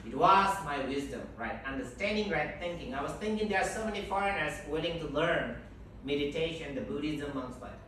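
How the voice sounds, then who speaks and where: Monk guy teaching a class, slow careful english, far off echoey room w/ noise. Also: on the high side, lively intonation, pushed and bright. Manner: slow, emphatic, non-native English with repetitions. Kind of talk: teaching a group, call and response.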